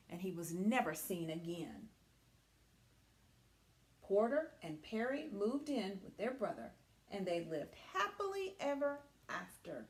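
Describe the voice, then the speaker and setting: Female storybook reader for children, emphatic and dramatic, very animated pitch, unhurried pace. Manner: emphatic, expressive. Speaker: teacher reading aloud.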